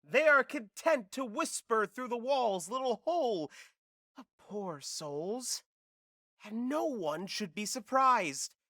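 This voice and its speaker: A man's voice: extremely high pitch, expressive, slow pace, pushed and bright, no room sound, studio quality, heavily acted. A man playing an earnest amateur actor who recites a prologue in a theatrical, declamatory way for an audio drama. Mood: earnest, grandiose. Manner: overblown, declamatory.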